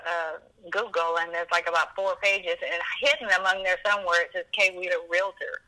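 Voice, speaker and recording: A woman in her seventies reminiscing as an interview guest, animated and emphatic, often rambling and repeating herself. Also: lowish voice, a little fast, low-quality recording. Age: 70s.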